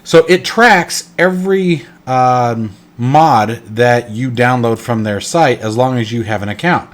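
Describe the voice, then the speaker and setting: A man's voice: medium pitch, measured pace. A congested man hosting a casual flight sim tutorial, rambling and correcting himself with lots of fillers. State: congested, stuffed up. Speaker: flight sim channel host. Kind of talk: casual screen-recorded tutorial.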